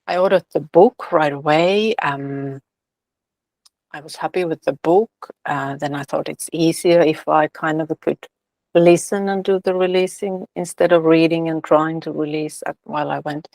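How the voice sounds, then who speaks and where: Gravelly-voiced woman, dry sound. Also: low voice, moderate pace, darkish tone, no echo. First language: Finnish. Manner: thoughtful, hesitant with fillers. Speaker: guest, releasing facilitator and author. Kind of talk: casual interview talk.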